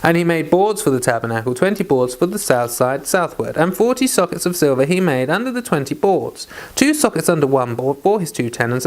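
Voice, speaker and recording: A person reading Bible scripture aloud as a read-along, occasionally stumbling over and repeating words. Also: medium pitch. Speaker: Bible reader.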